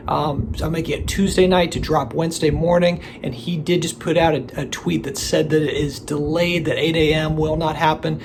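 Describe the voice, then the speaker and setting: Guy with laryngitis vlogging, raspy gravelly voice, lots of ums and restarts. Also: fairly high pitch, a little hiss or hum. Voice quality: gravelly, strained voice. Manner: rambling, hesitant, many fillers and restarts. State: hoarse from laryngitis. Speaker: collectibles channel host. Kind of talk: casual solo video commentary.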